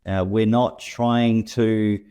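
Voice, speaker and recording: A man with a New Zealand accent, a guest in an interview, talking in a relaxed way with many ums. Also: fairly low pitch, drawn-out words, smooth. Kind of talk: casual interview.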